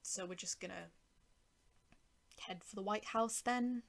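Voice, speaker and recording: Female storyteller, mid-pitched, noticeable vocal fry, sardonic, first-person narration with dialogue. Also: a little slow. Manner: wry, sardonic. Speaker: writer and narrator.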